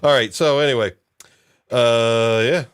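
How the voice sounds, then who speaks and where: A man hosting a casual discussion, recapping in a halting, loosely improvised way. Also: medium pitch, steady tone, clear, resonant tone, no echo, studio quality. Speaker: host of a discussion show.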